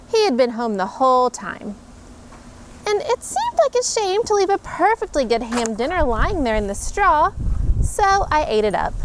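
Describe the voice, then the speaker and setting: A woman with a high voice reads a storybook aloud at home, her pitch swooping all over as she plays up the lines. She reads like a mother entertaining her kids, with playful emphasis. A faint hiss sits under the voice. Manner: animated, expressive reading with playful emphasis. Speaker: mother reading a picture book aloud.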